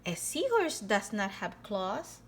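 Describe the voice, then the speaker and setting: A woman reading a picture book aloud to young children in an expressive style, with theatrical emphasis on key words. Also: medium pitch, takes it slowly, slight background noise. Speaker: children's storybook reader.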